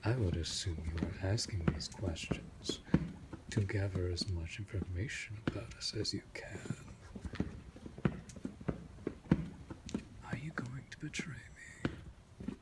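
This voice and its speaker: A man playing a courteous, possessive vampire master in an audio roleplay, speaking formally and deliberately with a breathy voice that often sinks toward a whisper. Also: sits low, rough recording. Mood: menacing, teasing. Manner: formal, measured, deliberate speech. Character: centuries-old male vampire master, courteous, possessive, protective manor lord. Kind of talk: immersive audio roleplay addressed to the listener. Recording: loud background noise.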